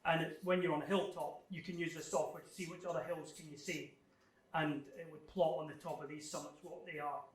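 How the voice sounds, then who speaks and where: A man giving an informal technical presentation, explaining things in a halting, thinking-aloud way as he answers questions. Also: on the high side, level tone. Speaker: guest speaker giving a technical club talk. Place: club meeting room, live talk. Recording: echoey room, distant microphone.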